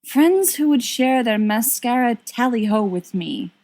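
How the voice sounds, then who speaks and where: A woman's voice: fairly high pitch, expressive, measured pace, darkish tone, voice pushed up. A woman reading a storybook part as a cheeky, melodramatic young man who teases and plays mournful. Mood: mock mournful. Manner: theatrical, mock-mournful, teasing.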